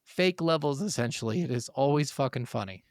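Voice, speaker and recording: A man co-hosting a casual gaming podcast, amused and excitable, with plenty of swearing in his talk. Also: medium pitch, brisk, no room sound, clean studio sound. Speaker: podcast co-host. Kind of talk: casual video game discussion.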